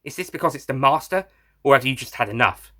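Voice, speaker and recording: A wry male time traveller, played by a man reading an audio story, defends himself in a bright, forceful voice of middling pitch. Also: animated, fast. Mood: exasperated.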